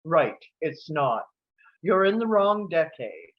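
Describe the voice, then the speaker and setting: A woman's voice: deep voice, very animated, takes it slowly, muffled recording, heavily acted. A woman in a comedy table read playing a strict, exasperated male flight attendant with a firm, officious manner.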